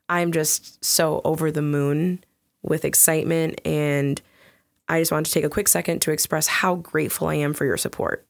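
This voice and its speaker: A woman co-hosting a casual podcast, chatty and upbeat, with a soft soothing voice that often breaks into giggles. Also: sits low, vocal fry, no echo, studio quality. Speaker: podcast co-host.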